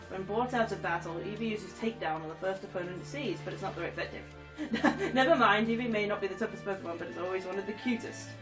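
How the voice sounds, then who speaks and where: A woman's voice: mid-range voice, recorded from a distance. Giggly stuffy-nosed woman with a cold. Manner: giggly, chatty, reads text aloud with asides. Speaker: gaming YouTuber. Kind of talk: casual game commentary, reading trophy descriptions aloud.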